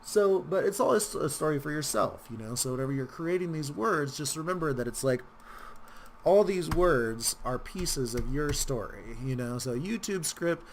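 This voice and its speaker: A man hosting a casual software tutorial, talking in a rambling, informal way with wry asides. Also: fairly high pitch, lively intonation, moderate pace, a little hiss or hum. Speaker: tutorial host.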